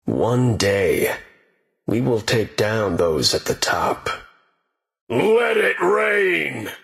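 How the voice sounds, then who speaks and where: Slow, echoing, deadly ninja assassin. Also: male voice, mid-range voice, sing-song, vocal fry, a bit bright, full character voice. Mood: fierce battle cry. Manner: terse, solemn, dramatic delivery. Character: stern, proud, deadly male ninja assassin. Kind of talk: video game hero battle lines. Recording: echoing game voice clips with long reverb.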